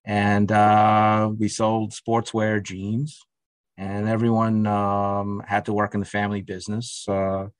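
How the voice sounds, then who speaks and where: A man's voice: fairly low pitch, little pitch movement, takes it slowly, no echo. A middle-aged man, a guest in a conversational interview, talking hesitantly with many fillers and restarts. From Russia. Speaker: podcast guest.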